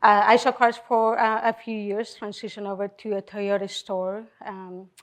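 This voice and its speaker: A middle-aged woman with a Colombian Spanish accent tells her career story on a panel in a flat, level tone. She talks steadily into a handheld microphone, with many fillers. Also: medium pitch, moderate pace. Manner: plain, steady, with frequent fillers. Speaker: panelist, general manager. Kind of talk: panel talk. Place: event venue.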